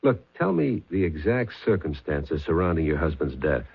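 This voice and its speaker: An actor playing a persistent police sergeant with a clipped, probing way of questioning, in a 1970s radio drama. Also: male voice, a bass voice, sing-song, moderate pace, vocal fry, husky, exaggerated voice. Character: persistent middle-aged male police sergeant. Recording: tinny old radio broadcast.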